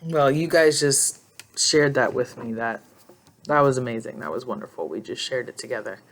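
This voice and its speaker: A woman's voice: rumbling low, normal speed. A woman in her forties talking casually and emotionally to her phone in a personal vlog, rambling and reflective. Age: 40s. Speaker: vlogger. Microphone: phone held in hand.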